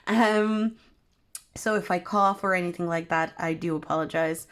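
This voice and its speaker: A young woman reading tarot cards for her viewers, her voice worn and hoarse from being unwell, talking in a soft, meandering way with many pauses. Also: fairly low pitch. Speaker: tarot reader. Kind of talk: pick-a-card tarot reading.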